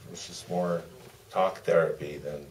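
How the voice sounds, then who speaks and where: An older man answering off the cuff as a film director on a panel, in a hesitant, meandering way with pauses. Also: sits low, fairly even intonation. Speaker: documentary director guest on a Q&A panel. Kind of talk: spontaneous panel discussion. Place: theater stage at a live Q&A. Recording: distant mic, faint room noise.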